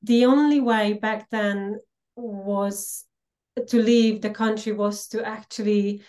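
A woman's voice: medium pitch, steady tone, a little mellow. Hungarian-Slovak accented woman, reflective. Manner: frequent "um" pauses, earnest and reflective. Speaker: podcast guest, empowerment coach. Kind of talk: personal storytelling interview.

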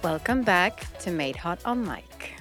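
A woman co-hosting a podcast in playful casual chat, her voice husky from a cold. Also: lowish voice, lively intonation, measured pace, a bit bright, faint music behind. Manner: playful, chatty. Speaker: podcast co-host.

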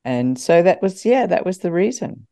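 Mellow-voiced older woman telling stories. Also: on the low side, big pitch swings, moderate pace, creaky. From Scotland. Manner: chatty, laughs often, self-deprecating. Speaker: guest, former radio talk host. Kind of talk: interview, anecdotal storytelling.

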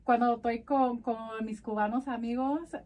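A woman's voice: fairly high pitch, steady tone, moderate pace, a little mellow, distant mic. A woman from the United States whose first language is Spanish, answering casually as a podcast guest and mixing Spanish and English mid-sentence. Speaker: podcast guest, community advocate. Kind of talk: casual bilingual interview answers.

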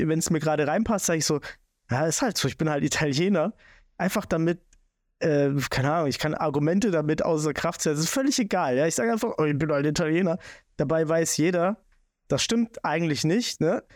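A man's voice: on the high side, no echo, clean studio sound. A man with a Swabian German accent, hosting a casual podcast talk and rambling with many restarts. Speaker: podcast host.

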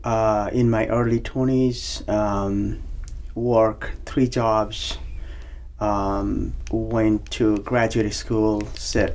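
Slow-talking man with a Nepali accent. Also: on the low side, flat delivery, a little mellow. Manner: halting, many fillers and restarts. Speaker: podcast guest, banking officer. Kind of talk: casual interview.

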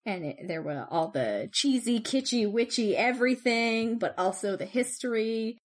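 A woman co-hosting a podcast, telling stories in an animated, chatty way. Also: a little high, moderate pace. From Canada. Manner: chatty, animated storytelling with laughs. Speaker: podcast co-host. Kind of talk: casual chat.

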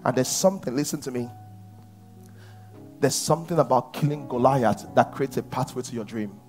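A man preaching a sermon to his church, at times rising to shouts. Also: mid-range voice, normal speed, faint music behind. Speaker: pastor.

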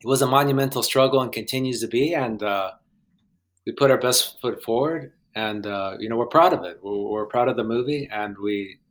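Young guy from guatemala on a podcast, halting and nervous, keeps restarting. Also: medium pitch. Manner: hesitant, halting, many restarts. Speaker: filmmaker guest. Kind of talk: casual podcast interview.

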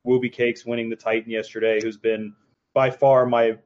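A man appearing as a podcast guest, speaking in a measured, hedging way in casual chat. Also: medium pitch, little pitch movement, darkish tone, slight background noise. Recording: dull lo-fi line.

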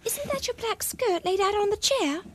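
A very high, excitable schoolgirl. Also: moderate pace, noisy background. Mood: teasing, bossy. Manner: animated, teasing. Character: excitable, bossy teenage schoolgirl best friend. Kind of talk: radio drama acting.

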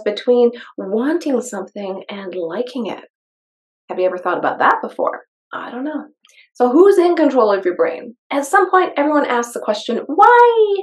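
A woman's voice: high voice, darkish tone. A woman hosting a personal development show, reading from a book aloud and adding conversational commentary, with rhetorical questions and emphasized key phrases.

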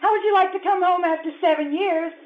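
An actress playing a wry, insistent wife, sweetly sarcastic and commanding, in a screwball comedy radio play. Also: female voice, very high-pitched, steady tone, fast. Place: live theatre stage. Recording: muffled live stage recording.

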